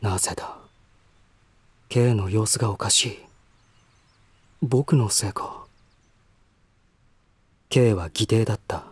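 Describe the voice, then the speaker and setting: Breathy, troubled young gentleman. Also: fairly low pitch, takes it slowly, long pauses, dry recording, full character voice. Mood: brooding, uneasy. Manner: hesitant, introspective, formal. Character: troubled, reserved young gentleman brooding over his half-brother. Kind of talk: Japanese voice drama acting with inner monologue.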